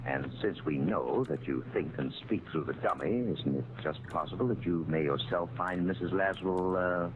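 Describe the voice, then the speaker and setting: A man playing a calm police inspector in an old radio drama, measured, formal and probing. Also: sits low, close to an everyday voice. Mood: calmly probing. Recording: tinny, hissy vintage radio recording.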